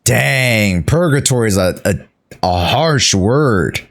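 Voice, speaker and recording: A man giving casual live commentary as he streams a game, thinking aloud in a deliberate, halting way. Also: medium pitch, lively intonation, gravelly. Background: game music.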